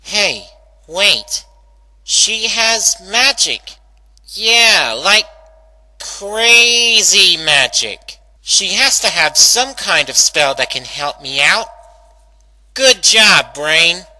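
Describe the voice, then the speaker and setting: A brash young female cartoon pony, acted out by a man, pitched high and pushed hard with a very bright edge. She grumbles to herself in exasperated, whiny slang, drawing the words out at an easy pace. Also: very animated, very slow, exaggerated voice, low-quality recording. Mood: excited, self-congratulating.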